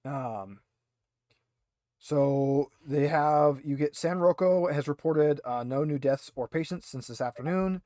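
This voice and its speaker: A man's voice: on the high side, slow, warm tone, no echo, clean studio sound. A man acting as game master, narrating a tabletop roleplaying game in a conversational, hesitant way.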